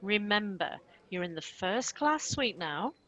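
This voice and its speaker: A woman playing a sharp-tongued, bossy but protective chambermaid in a comic radio play. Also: mid-range voice, sing-song, unhurried. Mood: wry, bossy.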